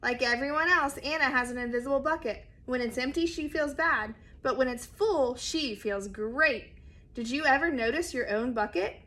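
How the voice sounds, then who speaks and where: Woman reading as a gentle old grandfather, bright voice. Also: sits high, moderate pace. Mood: gently reproving. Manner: explaining, mildly reproving. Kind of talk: storybook read-aloud character voice.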